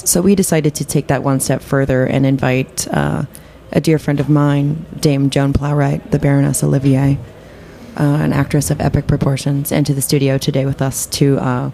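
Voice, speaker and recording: A woman's voice: sits low, mellow, slight background noise. A woman co-hosting a radio show in a studio, bantering casually with playfully theatrical phrasing. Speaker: radio co-host.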